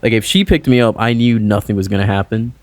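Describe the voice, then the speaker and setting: Young guy from puerto rico rambling, full of likes, lowish voice, dry close sound. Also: fast, no echo. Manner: loose, rambling, heavy on "like" fillers. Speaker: musician guest. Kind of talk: casual interview chat.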